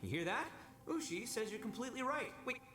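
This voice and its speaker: Cocky loud brawler dude, super lively, echoey and far off w faint music. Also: male voice, extremely high pitch, fairly quick. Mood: brash. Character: brash boastful young male brawler. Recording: echoey game audio from afar.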